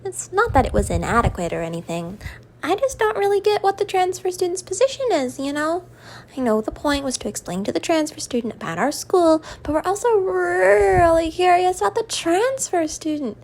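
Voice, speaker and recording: A woman voicing an excitable, childish and curious teenage boy idol in an amateur anime fandub, with a bubbly, eager manner. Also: extremely high pitch, normal speed, full character voice.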